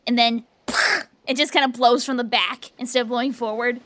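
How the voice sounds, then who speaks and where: A woman's voice: a little high, steady tone, normal speed, a bit bright, dry recording. A woman playing a tabletop game, chatting in a giggly, playful way. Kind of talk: casual play chat.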